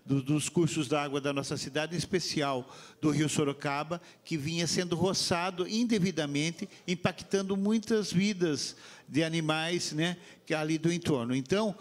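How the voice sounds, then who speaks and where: A man in his fifties with a São Paulo accent speaks into a handheld microphone at a council meeting. His voice sits a little high, and he stumbles over words as he goes. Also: normal speed. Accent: Brazilian Portuguese, São Paulo state. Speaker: city councilman. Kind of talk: council floor remarks.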